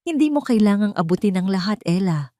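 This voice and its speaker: A woman's voice: mid-range voice, animated, a little fast, mellow, dry recording, studio quality, lightly acted. Woman narrator as a tender, devoted man. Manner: tender, murmuring.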